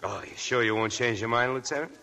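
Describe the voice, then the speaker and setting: An actor playing a breezy, hard-drinking, talkative nightclub press agent, glib and slangy, in a 1940s radio drama. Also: male voice, mid-range voice, brisk, bright, forceful tone. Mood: teasing, tipsy. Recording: 1940s radio broadcast with music.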